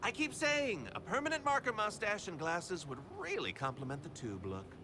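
Flamboyant rogue for a game: flippant, theatrical, lightly high, hugely animated, over noisy ambience. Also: male voice, normal speed. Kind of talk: video game voice acting.

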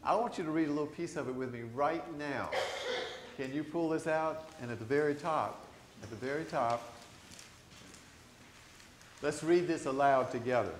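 Older man, priest, lively conversational sermon, booming church echo, faint room hum. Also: normal speed, pauses often. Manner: animated, gesturing, conversational preaching with questions to the congregation. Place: large church sanctuary. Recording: echoey church sanctuary.

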